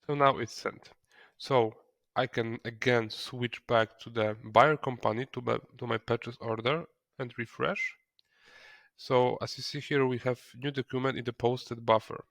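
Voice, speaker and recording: Guy doing a software tutorial, kinda hesitant, repeats words, medium pitch, dry sound. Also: fairly even intonation, unhurried, vocal fry, no room sound. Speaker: software trainer. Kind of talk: screen-recorded software tutorial, explaining step by step.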